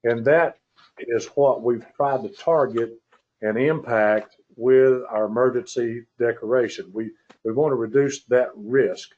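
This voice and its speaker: A man chairing a formal public meeting by conference call, speaking in a measured, hesitant, courteous way. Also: mid-range voice, a little mellow. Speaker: county commissioner chairing the meeting. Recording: muffled conference call audio.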